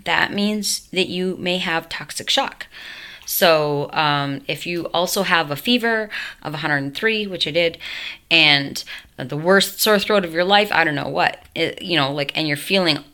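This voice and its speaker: A woman's voice: deep voice, measured pace, bright, forceful tone, clean tone. A young woman vlogging at home, telling her story in a rambling, self-interrupting way full of fillers, her voice changed after a tracheotomy. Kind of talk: casual storytelling to camera.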